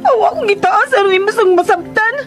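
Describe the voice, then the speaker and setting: Casting: chatty young Filipino maid, radio soap, very high whiny voice, deferential and hesitant. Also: female voice, brisk, fairly bright tone, faint music behind. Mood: whiny, pleading. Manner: flustered, hesitant, whiny pleading. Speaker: radio drama actress. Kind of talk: Filipino radio drama acting.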